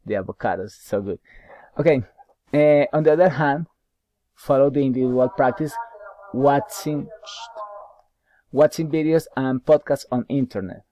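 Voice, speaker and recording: Halting Spanish-accented young man. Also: mid-range voice, mellow, no echo, rough recording. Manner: halting, repeats words while searching for them. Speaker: university English student. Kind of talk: answering study questions aloud.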